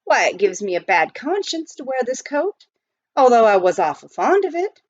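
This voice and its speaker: Woman as a big reformed outlaw, folksy frontier drawl, amused and teasing, lively swooping pitch, storybook reading, dull dry recording. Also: moderate pace, dry recording. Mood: wry, teasing. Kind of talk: children's storybook read aloud.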